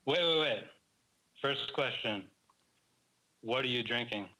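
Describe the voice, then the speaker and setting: A man's voice: medium pitch, slow pace, sharp tone, rough recording. Blunt American man calling in. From United States. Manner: blunt, casually profane. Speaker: caller. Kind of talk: casual call-in chat.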